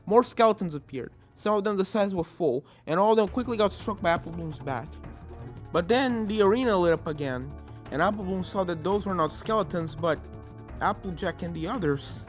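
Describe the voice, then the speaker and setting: A fan-fiction reader narrates an adventure horror story with drama. The voice is mid-pitched and sits over video game music. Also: lively intonation, fairly quick.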